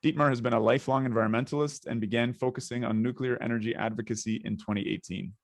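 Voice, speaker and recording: A Canadian man hosting a podcast interview, talking in a relaxed way with hesitant fillers. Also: mid-range voice, quick pace, no echo, studio quality. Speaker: podcast host.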